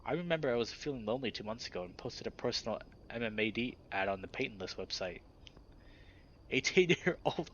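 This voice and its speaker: A man's voice: fairly low pitch, highs cut off, slight background noise. A man reading first-person visual novel narration in a dramatic, scripted storytelling style.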